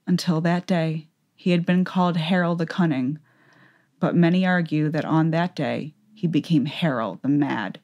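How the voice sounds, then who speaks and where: A woman narrates a fantasy story as in a dramatic audiobook. Her voice is pitched low. The delivery is scripted storytelling. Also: moderate pace, a little mellow, highs cut off.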